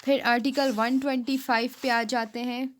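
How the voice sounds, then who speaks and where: A Pakistani woman giving an informal explanatory lecture, halting and repetitive, switching into English for technical terms. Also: on the high side, level tone. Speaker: law lecturer for exam aspirants.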